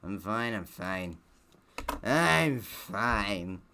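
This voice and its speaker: A man's voice: lowish voice, expressive, drawn-out words, creaky, noisy background. A man who streams games, reading a visual novel aloud, stumbling a little and dropping in offhand, self-deprecating comments. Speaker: gaming streamer.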